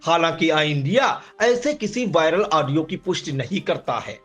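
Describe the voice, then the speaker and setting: A man's voice: a little high, lively intonation, normal speed. A man reading a scripted news report. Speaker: news narrator.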